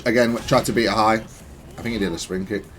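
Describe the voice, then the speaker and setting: A man's voice: lowish voice, fairly even intonation, fast talker, a bit bright, a little hiss or hum, low-quality recording. A man giving matter-of-fact, analytical commentary on gameplay, thinking aloud in a stop-start way. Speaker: gamer explaining own match replay.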